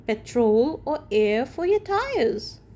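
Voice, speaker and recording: A woman's voice: high voice, very animated, slow pace, warm tone, slight background noise, heavily acted. A woman voicing a friendly, helpful old male cartoon dog who runs a garage, cheery and eager, in a storybook reading.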